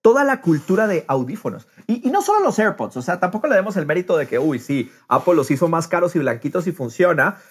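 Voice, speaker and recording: A man's voice: sits high, big pitch swings, fast talker, hardly any pauses, breathy edge. A man with a Latin American accent chatting casually and expressively on a podcast, often repeating words as he thinks aloud. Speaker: podcast host.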